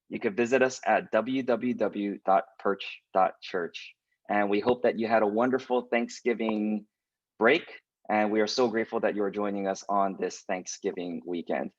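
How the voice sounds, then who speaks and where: A lower-voiced man hosting a church webinar over a video call. He talks casually and hesitantly, with plenty of "like" and "um". The audio is dry and a bit dull and lo-fi. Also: no echo. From United States. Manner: hesitant, many fillers and "like".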